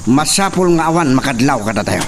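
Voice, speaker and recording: A man's voice: mid-range voice, animated, fairly quick, noisy background, lightly acted. A man playing a commanding young master in a radio drama who gives orders and scolds his servant.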